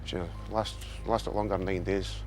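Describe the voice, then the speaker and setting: Low-voiced Scottish man in his thirties. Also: little pitch movement, moderate pace, noisy background. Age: 30s. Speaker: quiz contestant.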